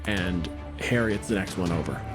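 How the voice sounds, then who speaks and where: A man's voice: low voice, moderate pace, music in the background. A man as game master, giving rulings and narrating action in short, dramatic statements.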